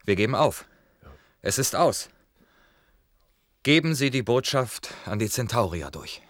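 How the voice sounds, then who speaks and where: An actor playing a gruff, weary military commander with curt phrases and heavy pauses in a science-fiction radio play. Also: male voice, medium pitch, expressive, natural voice. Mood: grim resignation.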